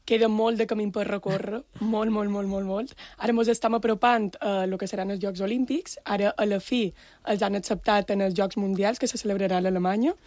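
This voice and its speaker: A woman's voice: mid-range voice, steady tone, fairly quick, darkish tone, no echo. A woman with a Balearic Catalan accent, a coach answering conversationally in an interview.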